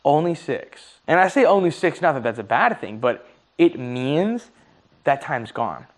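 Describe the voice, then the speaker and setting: A man's voice: on the high side, very animated, measured pace. A man in his early twenties musing aloud in a casual monologue, swearing freely and laughing at his own slips, his voice starting to tire. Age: 20s. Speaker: vlogger.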